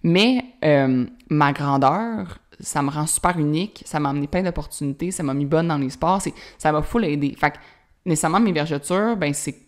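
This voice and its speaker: A woman in her thirties talks through a solo podcast episode with a strong Quebec French accent. Her voice sits low for a woman, and she rambles in a loose, friendly way, dropping fillers and bits of English slang as she goes. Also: normal speed. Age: 30s. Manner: casual, chatty, many fillers and anglicisms. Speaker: podcast host.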